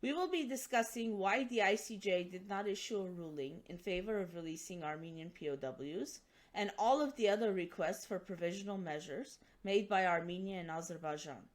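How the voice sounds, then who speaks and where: A woman hosting an interview, reading formal introductions and questions in a measured, carefully worded way. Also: mid-range voice. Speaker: interview host.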